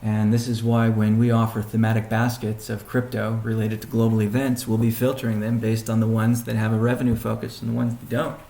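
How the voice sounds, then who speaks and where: A man speaking as a chief executive on a conference call, answering questions in a halting, deliberate way with pauses and restatements, in a roomy recording. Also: on the low side, completely flat delivery, vocal fry, mellow. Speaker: company CEO hosting a weekly conference call. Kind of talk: question-and-answer business briefing. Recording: roomy, echoing sound.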